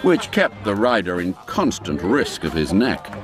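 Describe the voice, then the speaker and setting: A low-voiced man narrates an old-fashioned story at an unhurried pace, with a swooping, expressive range. His delivery is theatrical and savors every ornate phrase. Music plays behind him. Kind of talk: scripted literary audiobook narration. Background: background music.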